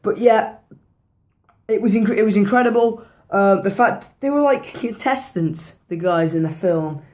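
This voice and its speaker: A woman talks through a horror film in a loose, chatty review, her voice hoarse and worn down. She rambles, restarts her sentences and drops swear words without a second thought. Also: mid-range voice, measured pace, darkish tone. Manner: rambling, swears freely, repeats herself. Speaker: movie reviewer. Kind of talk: casual movie review vlog.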